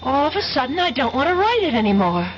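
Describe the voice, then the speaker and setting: A woman's voice: high voice, very animated, quick pace. An actress playing an adoring, breathless young woman student in a radio drama, with a gushing, hesitant delivery. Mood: dazed. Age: young adult. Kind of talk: 1970s radio drama acting. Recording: dull 1970s radio broadcast, music behind.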